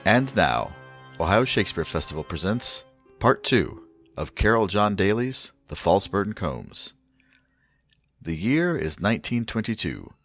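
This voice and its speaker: A man announces in showy old-time radio style. His very low voice moves with lively swoops, and faint music plays underneath as he hosts. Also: measured pace. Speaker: radio program host and announcer.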